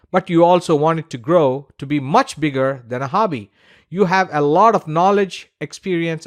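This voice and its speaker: Male course instructor, slightly high voice. Scripted promo narration, persuasive, direct address, stressed lists.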